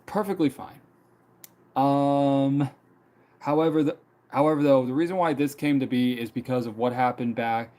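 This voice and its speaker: A man's voice: medium pitch, normal speed, dark tone. A young man in his mid-twenties, chatting casually on a live stream, rambling with many fillers and repeated phrases. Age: 20s. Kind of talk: casual personal storytelling.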